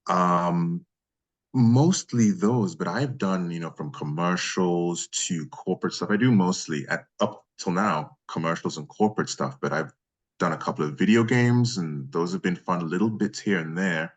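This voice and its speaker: Very deep-voiced man chatting as interview guest. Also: normal speed. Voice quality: deep bass voice. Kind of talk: casual interview chat.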